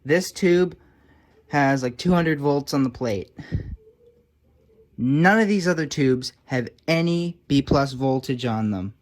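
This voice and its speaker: A man's voice: mid-range voice, expressive, slow, long pauses. A man giving a casual, informal narration of hands-on repair work, thinking aloud a little hesitantly as he goes. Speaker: hobbyist restorer presenting a repair.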